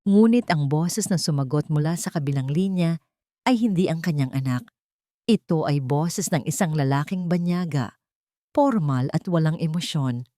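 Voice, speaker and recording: A woman's voice: deep voice, animated, normal speed, dark tone, dry recording, clean studio sound. A woman with a Filipino accent giving a scripted, dramatic narration as a storyteller.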